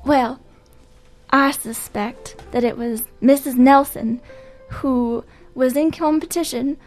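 A woman doing a high, excitable young informant from a comic radio play. She delivers every line with breathless melodrama. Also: slow pace, faint music behind. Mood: melodramatic. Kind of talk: comic radio noir acting.

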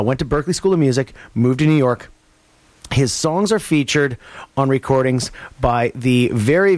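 A man in a radio studio, chatting through the last set of records in an unhurried, rambling manner. He fills the gaps with ums and uhs as he goes. The sound is dry and close, with no room around it. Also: mid-range voice, dry recording. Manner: laid-back, rambling, many fillers. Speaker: college radio DJ. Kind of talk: casual radio show back-announcing.

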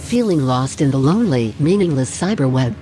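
A woman's voice: extremely low pitch, animated, fairly quick, dark tone, faint music behind. A woman announcer reading a scripted, tongue-in-cheek radio promo.

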